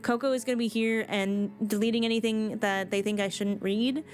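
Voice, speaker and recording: A woman's voice: mid-range voice, steady tone, a little fast. A woman game livestreamer chatting informally and casually with her viewers.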